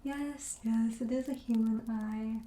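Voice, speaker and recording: A woman playing a friendly, darkly quirky witch shopkeeper in an ASMR roleplay, speaking softly and breathily with gentle, coaxing sales patter that often drops to a whisper. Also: fairly high pitch, fairly even intonation, slow, reverberant, slight background noise. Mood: coaxing, impish.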